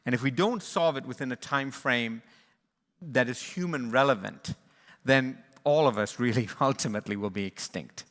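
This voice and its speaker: Male moderator, earnest and reflective, bright forceful voice with long hall reverb. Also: medium pitch, moderate pace. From born in Sri Lanka, raised in East and West Africa. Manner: earnest, reflective, with frequent restarts and fillers. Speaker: panel moderator, conservation charity leader. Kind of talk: closing remarks at a livestreamed panel.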